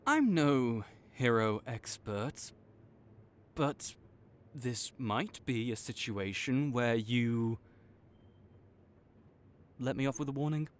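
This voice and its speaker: A man playing a tsundere supervillain in an audio roleplay, halting and exasperated, teasing and reluctantly caring toward a rival. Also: mid-range voice, expressive. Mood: sheepish, teasing. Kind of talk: intimate audio roleplay addressed to the listener.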